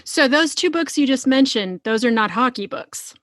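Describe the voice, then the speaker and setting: A woman's voice: high voice, expressive, normal speed, fairly bright tone, no echo. Frank woman host, very dry close sound. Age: middle-aged. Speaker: podcast host. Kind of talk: casual, informal interview chat.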